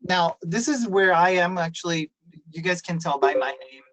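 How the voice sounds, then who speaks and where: A man presents on a video call, his voice sitting a little high for a man. He explains things patiently, with plenty of fillers and restarts along the way. The sound is dry and close, with no room echo. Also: lively intonation, moderate pace, a bit bright. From Italy. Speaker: university presenter. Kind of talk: webinar presentation.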